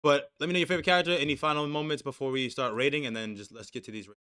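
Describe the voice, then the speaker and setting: A man's voice: mid-range voice, steady tone, very fast, sharp tone, clear, resonant tone. A young man co-hosting a casual anime discussion stream, profane and loose, reading chat messages aloud and restarting his sentences. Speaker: stream co-host.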